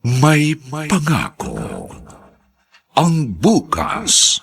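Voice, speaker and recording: A man's voice: fairly high pitch, wildly swooping pitch, creaky, a bit bright, breathy edge. A Filipino man narrating and announcing a radio drama in a solemn, theatrical, emphatic style. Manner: theatrical, drawn-out emphatic phrasing.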